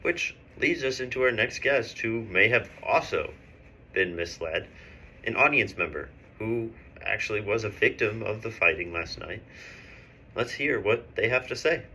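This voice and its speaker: A man's voice: lowish voice, expressive, a little slow, bright, forceful tone, exaggerated voice. A man acts as a showy male newsreel host. He projects in a polite, sympathetic, presentational manner, with faint noise behind the voice. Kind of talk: mock news presenting.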